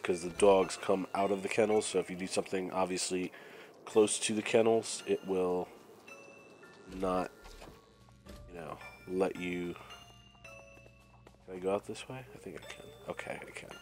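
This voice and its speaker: Low, raspy-nosed gamer with a cold. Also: male voice, a little slow, long pauses. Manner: relaxed, thinking aloud while playing. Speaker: gameplay commentator. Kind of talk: casual let's-play commentary. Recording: faint game music behind.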